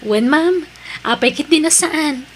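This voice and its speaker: Actress as young female office worker, Ilocano accent, deferential, earnest, highish voice, noisy recording. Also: measured pace. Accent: Filipino, Ilocano. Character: polite, hurt young female junior employee. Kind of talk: Ilocano audio drama acting.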